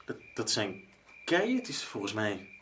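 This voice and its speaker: Radio drama earnest male researcher-writer: excitable, hesitant, highly varied pitch, some hiss of noise behind. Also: unhurried, exaggerated voice. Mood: intrigued, tense. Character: earnest, disheartened male researcher-writer chasing an art discovery.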